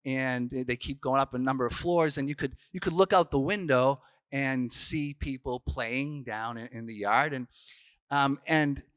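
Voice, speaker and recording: A man's voice: medium pitch, measured pace, fairly bright tone, clean tone, no room sound. A man, a Franciscan priest telling stories in a casual youth workshop talk, full of restarts and fillers. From Boston, Massachusetts. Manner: chatty storyteller, frequent restarts and fillers.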